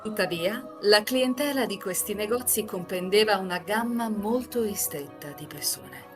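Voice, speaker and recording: A woman's voice: mid-range voice, lively intonation, moderate pace. Woman narrating a story over music.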